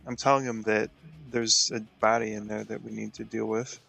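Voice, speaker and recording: Slightly creaky, low-voiced man at game. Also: little pitch movement, normal speed, over music, rough recording. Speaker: tabletop game player. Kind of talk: casual game chat.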